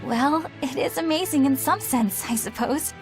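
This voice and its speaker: A woman's voice: high voice, moderate pace, over music, natural voice, poor audio. An actress playing a prim, principled young woman warrior in a video game, formal and easily exasperated. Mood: troubled, reflective. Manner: proper, formal, indignant. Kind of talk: English video game voice acting.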